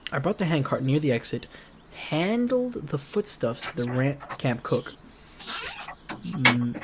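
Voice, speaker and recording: A man's voice: medium pitch, background noise. A man streaming a visual novel, reading it aloud expressively with casual asides. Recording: music behind.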